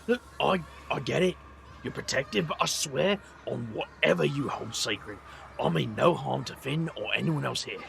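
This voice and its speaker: An actor with a British accent playing a wry, world-weary magician in an audio drama, dry and self-deprecating. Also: male voice, mid-range voice, moderate pace, gravelly, fairly bright tone, slight background noise. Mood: defensive. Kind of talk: audio drama narration and acting.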